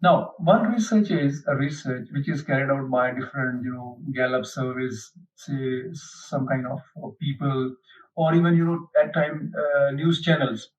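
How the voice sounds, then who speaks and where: A man giving an unhurried explanatory lecture, pausing often with fillers as he explains. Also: medium pitch. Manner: unhurried, hesitant, many fillers. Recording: dull lo-fi, mic at a distance.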